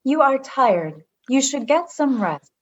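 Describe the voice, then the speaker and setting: A woman's voice: high-pitched, very animated, slow, exaggerated voice. Woman playing a formal, literal-minded android, slightly muffled. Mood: concerned. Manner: formal, precise, avoids contractions. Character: earnest, literal-minded, kindly female android junior lieutenant. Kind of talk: comedy radio play acting.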